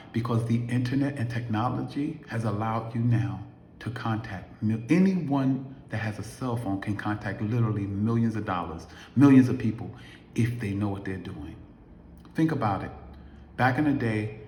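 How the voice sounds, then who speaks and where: A man's voice: fairly low pitch, moderate pace, darkish tone, slight background noise. A man in his late fifties giving an emphatic motivational talk to camera, asking his viewers many rhetorical questions. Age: 50s. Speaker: affiliate marketer vlogger. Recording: echoey room.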